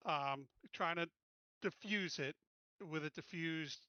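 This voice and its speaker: A man chatting casually as a tabletop game player, hesitant and pausing mid-sentence. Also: fairly high pitch, bright, forceful tone, clean tone, dry recording, lo-fi sound.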